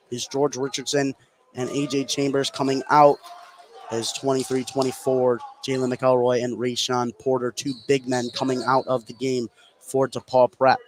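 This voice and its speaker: An American man doing live radio basketball play-by-play, getting excited on big plays. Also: mid-range voice, poor audio. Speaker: play-by-play announcer.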